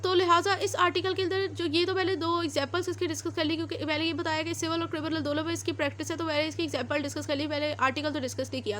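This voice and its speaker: A woman's voice: very high-pitched, a bit bright, a little hiss or hum. A woman with a Pakistani accent lecturing on law, explaining informally and repetitively with everyday examples and switching into English legal terms.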